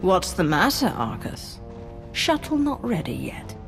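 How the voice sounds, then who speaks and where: An actress voicing a cold, ruthless female dark lord in a video game, curt and commanding. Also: medium pitch, wildly swooping pitch, a little slow. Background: game music.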